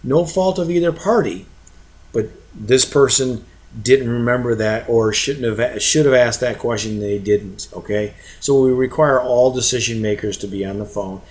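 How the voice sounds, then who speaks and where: A man's voice: mid-range voice, moderate pace, a little mellow. A man giving an informal explainer as an investment presenter, conversational and often checking in with short rhetorical questions. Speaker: real estate investment presenter.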